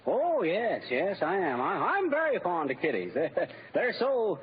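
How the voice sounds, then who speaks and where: An actor playing a mild, naive, eager husband in a 1940s radio comedy, with a hesitant, stammering, chuckling delivery. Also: male voice, high-pitched, huge pitch swings, a little slow, exaggerated voice. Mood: sheepish, eager. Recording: muffled old radio broadcast with studio audience.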